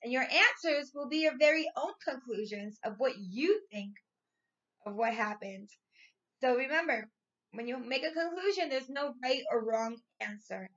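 A woman in her thirties, a primary school teacher reading and explaining to young children in an expressive, clearly enunciated way. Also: sits high, moderate pace, muffled recording. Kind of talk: read-aloud story and lesson for young children. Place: small furnished room at home, indoors.

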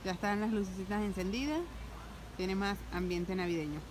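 A woman's voice: medium pitch, steady tone, moderate pace, very noisy. A young woman chatting upbeat in a casual travel vlog, filming herself on a phone at arm's length at an outdoor Christmas market. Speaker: travel vlogger. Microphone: phone held at arm's length. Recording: busy outdoor market with loud music and crowd. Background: music and crowd.

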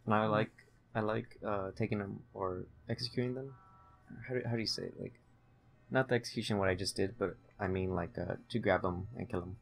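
A man's voice: on the low side, fairly even intonation, brisk, creaky. A young man giving casual, rambling, hesitant commentary as he hosts a gameplay video. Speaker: gaming video host.

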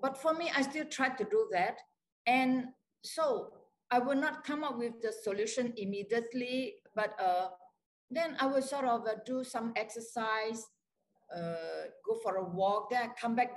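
A woman's voice: on the high side, moderate pace. An older woman on a video call, answering interview questions thoughtfully with many restarts and fillers. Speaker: guest lecturer. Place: bright office, indoors.

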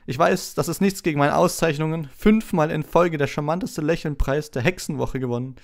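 Guy doing a self-satisfied wizard teacher for an audiobook, way up high, gushy and patronizing. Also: a little fast, creaky. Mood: smug, patronizing. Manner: gushing, condescending. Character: vain, patronizing, self-satisfied celebrity wizard professor, male. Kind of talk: audiobook character voice.

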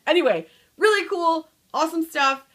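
A woman's voice: extremely high pitch, expressive, moderate pace, fairly bright tone. A woman in her thirties chatting casually to camera in a small room at home, upbeat and chatty, trailing off at the ends of her thoughts. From Michigan. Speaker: book vlogger.

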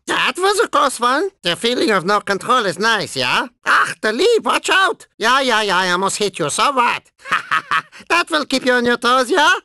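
Deranged cartoon cyborg racer, thick German accent, shrill and bright, wildly swooping pitch, whiny, gleefully violent, game character. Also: male voice, creaky, no echo, exaggerated voice. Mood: excitable, menacing. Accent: exaggerated German. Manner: theatrical, excitable, tacking questioning tags onto remarks.

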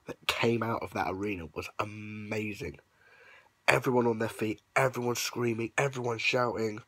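A young man with a hoarse, worn-out voice, unwell and sniffly, casually recapping in a rambling vlog, lying in his bedroom and talking into a phone held at arm's length. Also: fairly low pitch, no echo, poor audio. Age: young adult. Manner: rambling with many ums, upbeat. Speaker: vlogger. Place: bedroom at night, lying on a bed. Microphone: phone held close at arm's length.

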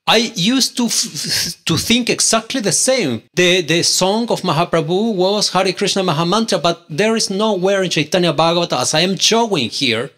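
A man with a Spanish accent, a native Spanish speaker, teaches scripture from a webcam setup with a close microphone. His voice sits slightly high, and he presses his points with indignant, repeated rhetorical questions. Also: a bit bright. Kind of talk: online scripture study, argumentative. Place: indoor room, screen-share with webcam. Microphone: pink handheld mic on stand, close.

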